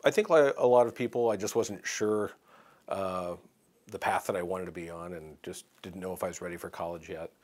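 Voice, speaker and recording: A man with a very low, deep voice tells his story in an interview. He talks in a measured way and fills his pauses with "uh." He is a veteran recalling his time in the Army. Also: darkish tone. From United States. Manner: measured, pausing with frequent "uh". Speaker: city communications coordinator and Army veteran. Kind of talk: recorded interview testimony.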